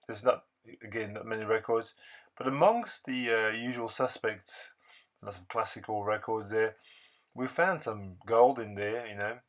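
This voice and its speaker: A man narrating a casual vlog voice-over in a halting, unscripted, rambling way. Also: on the low side. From France. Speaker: record-collecting video blogger.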